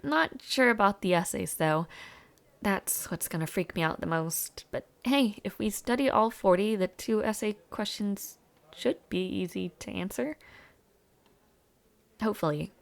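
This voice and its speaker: A young woman playing a friendly, chatty university student in an audio roleplay, speaking casually with hesitant afterthoughts and a creaky voice. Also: medium pitch, unhurried. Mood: chatty, wry. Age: young adult. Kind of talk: intimate audio roleplay, casual one-sided conversation.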